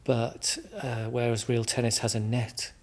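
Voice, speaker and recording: Englishman as interview guest: informal, thoughtful, halting delivery with repeated words. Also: mid-range voice, little pitch movement. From England, Midlands. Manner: hesitant, reflective, repeats words as he explains.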